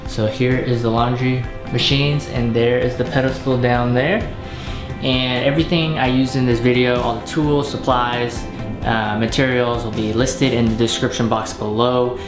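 A man in his thirties hosting a home DIY channel, explaining a woodworking build in a relaxed, casual way with frequent fillers. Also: medium pitch, moderate pace. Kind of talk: casual how-to narration. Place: small home laundry room, then voice-over over garage workshop footage. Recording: voice over clear background music. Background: background music.

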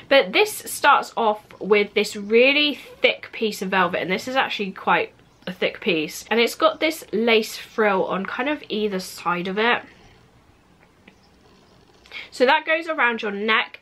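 A woman's voice: a little high, sharp tone. A young woman in her twenties, just over a cold, chatting casually through a clothing haul review in her bedroom, with many "like" fillers. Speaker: fashion vlogger. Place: bedroom at home, indoors.

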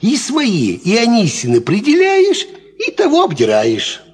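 An actor playing a worldly, cocksure peasant farmhand, explaining things in folksy fashion in a 1950s Soviet radio play. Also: male voice, high-pitched, measured pace, husky, exaggerated voice. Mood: knowing. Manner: folksy, animated, instructive.

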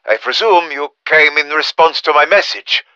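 An actor playing a grave, weary, middle-aged German professor in a video game, with a German accent, speaking in measured, solemn, formal phrases as in a dramatic memoir. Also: male voice, fairly high pitch, pushed and bright, smooth, no room sound, muffled recording. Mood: formal, urgent. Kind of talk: dramatic scripted audio memoir.

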